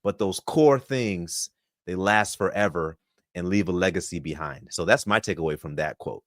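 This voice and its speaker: A man's voice: sits low, normal speed, fairly bright tone, no room sound, clean studio sound. A man from Florida, in his thirties, answering interview questions in an upbeat, polished speaker's way. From Florida, USA. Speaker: podcast guest.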